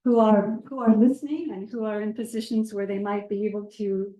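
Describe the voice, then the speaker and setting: A woman moderating a community panel, welcoming a live audience in a gentle, measured and slightly hesitant way. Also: medium pitch, steady tone. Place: community meeting room with a seated circle. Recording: roomy room sound.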